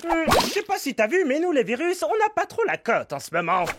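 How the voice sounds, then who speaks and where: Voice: high-pitched, huge pitch swings, fairly quick, music in the background. An actor playing a sly, mischievous little virus who leads a pack of copycats in a cartoon dub, cheeky and scheming. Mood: pondering.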